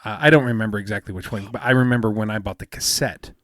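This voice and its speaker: A man in his fifties with a soothing, smooth voice, chatting casually as a podcast co-host and rambling with many fillers and restarts. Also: lowish voice, fast talker, a bit bright, dry recording, clean studio sound. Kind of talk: casual chat.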